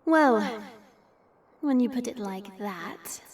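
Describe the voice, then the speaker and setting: Girl voicing a lady vampire, highish voice, slow drawl, sarcastic and teasing, audio drama. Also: expressive, husky, heavily acted. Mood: sassy, mocking. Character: hidden female vampire, sassy, teasing, unafraid. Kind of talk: audio roleplay drama acting.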